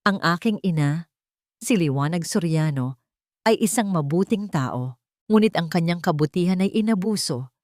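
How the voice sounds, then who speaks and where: Casting: woman narrator as rich young schemer, pleading and emotional, lively pitch, close dry sound. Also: moderate pace, dry recording, natural voice. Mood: falsely tearful. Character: scheming rich young male heir, falsely grieving, panicky when exposed.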